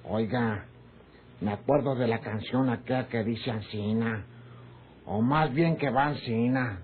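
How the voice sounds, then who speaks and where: A man's voice: medium pitch, measured pace, lightly acted. An actor voices a cheeky ranch hand in charge of a Mexican rancho, in old radio-drama style. His talk is folksy and countrified. The recording is narrow and tinny, with faint noise. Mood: cheeky, flirtatious. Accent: rural Mexican. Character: cheeky, flirtatious Mexican ranch foreman, rough around edges.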